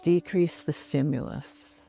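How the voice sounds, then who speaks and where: A middle-aged woman guiding a relaxation meditation in a soothing, measured manner with long pauses. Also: sits low, steady tone, creaky, mellow, faint music behind. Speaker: yoga nidra meditation guide.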